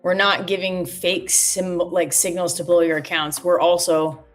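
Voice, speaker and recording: Woman, 30s, lower voice, trading stream co-host, casual, blunt, faint background music. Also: fairly even intonation, unhurried. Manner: outspoken, answers chat directly. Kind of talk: casual live-stream chat while trading.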